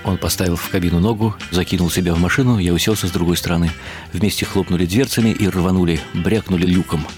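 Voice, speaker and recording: An actor playing a world-weary writer who narrates a literary radio drama in a wry, ironic, musing way. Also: male voice, low-pitched, steady tone, fast talker, a little mellow, faint music behind, lightly acted. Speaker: narrator and lead character.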